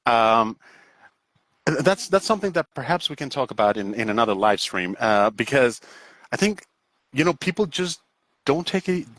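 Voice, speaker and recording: A man in his forties with an average-pitched voice, recorded dry with little room sound. He hosts a casual online teaching chat and speaks in a halting, roundabout way, repeating words as he goes. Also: sing-song, a bit bright, no echo, low-quality recording. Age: 40s. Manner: halting and wordy, often repeating words and checking with "right?". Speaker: English teacher hosting a live stream. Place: indoors on an online video call.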